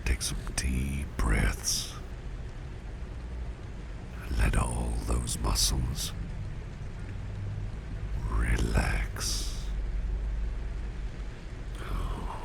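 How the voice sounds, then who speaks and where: Man playing caring businessman boss, ASMR roleplay, slow gentle whisper, heavy vocal fry, courteous, reassuring, hesitant pauses. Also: exaggerated voice. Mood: soothing, drowsy. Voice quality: breathy whispering, gravelly low murmur. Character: doting, protective businessman boss, soothing and courteous. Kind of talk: ASMR roleplay, close personal attention. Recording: audible steady background noise.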